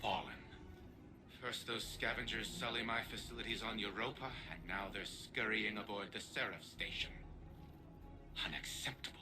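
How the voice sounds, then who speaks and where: Pompous, imperious actor as a low-voiced man. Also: moderate pace, close to an everyday voice. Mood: indignant disdain. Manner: pompous, disdainful. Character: pompous, imperious older male scientist-savior. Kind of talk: dramatic game cutscene acting. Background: music.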